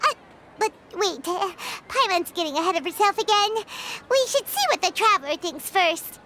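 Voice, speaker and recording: Squeaky, excitable tiny fairy companion. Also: female voice, normal speed, background noise. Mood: excited, bossy. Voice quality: squeaky, childlike. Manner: speaks of herself in third person, exclamatory. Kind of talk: anime game character acting. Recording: music behind the voice.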